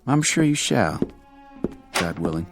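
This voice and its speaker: An actor playing a courteous, dryly frank family doctor in a radio drama, speaking in a measured, polite way. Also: male voice, deep voice, sing-song, heavily acted. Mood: grave. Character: courteous, dry, candid longtime male family doctor. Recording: radio drama with background music.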